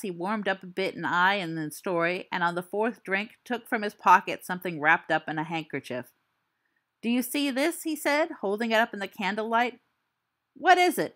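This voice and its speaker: A woman's voice: medium pitch, fairly bright tone, close to an everyday voice. A woman voicing a teenage boy wizard who tells a ghost story with theatrical flair and dry asides. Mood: spooky. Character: teen boy wizard spinning a spooky tale.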